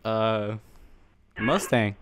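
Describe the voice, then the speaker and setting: Casual man making a prank call, deadpan. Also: on the low side, drawn-out words, a little hiss or hum. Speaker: prank caller, gaming channel host.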